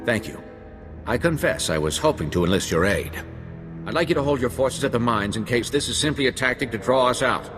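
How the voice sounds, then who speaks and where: An actor playing a courteous, firm army general in a video game, formal and measured in delivery. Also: male voice, medium pitch, expressive, big-room sound, music in the background, close to an everyday voice. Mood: courteous, commanding.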